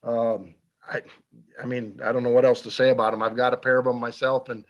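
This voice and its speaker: A man hosting a hunting talk show, chatting in a folksy, unhurried way full of ums and uhs. His voice sits at an ordinary middle pitch, and he stays relaxed even when he reads out a sponsor deal. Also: muffled recording. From Iowa. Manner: folksy, filler-heavy delivery. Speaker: radio show host. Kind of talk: casual radio talk with an ad read.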